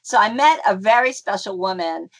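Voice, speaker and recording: A woman's voice: mid-range voice, expressive, moderate pace. Middle-aged woman, interview guest, mid-range voice, rambling and chatty with lots of fillers. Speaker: interview guest, folk dancer. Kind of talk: casual conversational interview.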